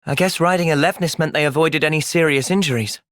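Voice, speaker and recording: A man's voice: on the high side, fast talker, a bit bright, no room sound, clean studio sound, natural voice. A young man with a British accent playing an earnest, thoughtful, polite and determined young hero in video game cutscenes.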